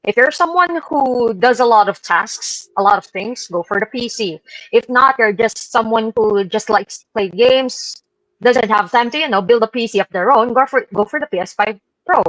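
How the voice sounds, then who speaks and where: A woman's voice: on the high side, expressive, normal speed, fairly bright tone, no room sound, rough recording. A woman giving informal, opinionated commentary as a panelist on a gaming talk show.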